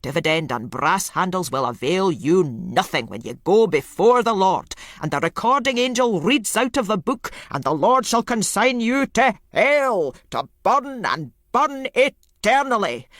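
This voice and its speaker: A woman voicing a pompous male lay preacher in a story, rasping out drawn-out biblical oratory. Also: medium pitch, animated, fairly bright tone, dry recording, clean studio sound, pitched above the performer's own voice. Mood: thundering, fervent.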